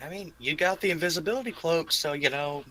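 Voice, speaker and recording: A man's voice: on the high side, fast, bright, forceful tone, low-quality recording. A man playing a tabletop roleplaying game, talking in casual, cocky, informal banter.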